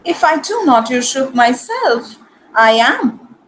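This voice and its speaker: A woman acting a proud, sharp-witted noble lady in a Shakespearean dramatic reading, with an arch, haughty manner. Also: high-pitched, big pitch swings, slow pace, full character voice, poor audio. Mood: arch, amused.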